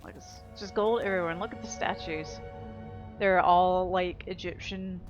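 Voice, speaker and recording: A woman's voice: fairly low pitch, fairly even intonation, moderate pace, muffled recording, music in the background. A woman giving informal gameplay commentary, thinking aloud as she explores. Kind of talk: casual game commentary.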